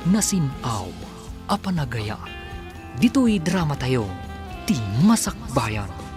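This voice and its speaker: Male serial narrator, dramatic radio announcing, middle register, over a clear music bed. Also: mid-range voice, very animated, slow. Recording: music behind.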